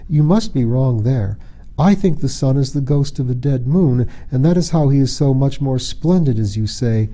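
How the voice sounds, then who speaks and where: A man voicing an innocent, curious young girl in a storybook, gentle and comforting, with a wondering, questioning manner. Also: medium pitch, lively intonation, moderate pace, natural voice. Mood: curious, thoughtful.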